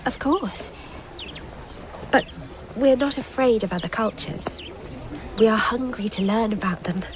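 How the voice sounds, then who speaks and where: A woman's voice: fairly high pitch, a little slow, noisy background, close to an everyday voice. An actress playing a curious, eager young woman, an earnest and wondering poetry pupil in a radio drama.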